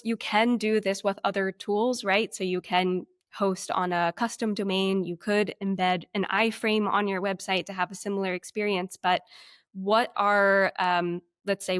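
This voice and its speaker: A woman with a mid-pitched voice co-presents a webinar. She walks through examples on screen in a conversational, explanatory way, recorded dry with little room sound. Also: no room sound. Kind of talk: conversational screen-share walkthrough.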